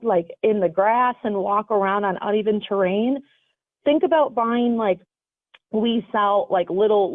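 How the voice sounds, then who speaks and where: A woman's voice: a little high, dry recording. Chatty woman on a tinny phone line. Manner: energetic, chatty, self-correcting. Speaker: radio show guest, foot health coach. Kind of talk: casual explanatory radio talk.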